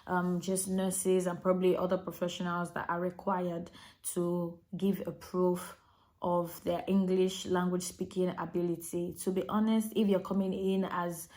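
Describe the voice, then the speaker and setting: Low, flat-voiced Nigerian woman giving advice. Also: measured pace. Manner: chatty, direct, addresses viewers as you guys. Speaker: registered nurse giving migration advice. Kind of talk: advice vlog to camera.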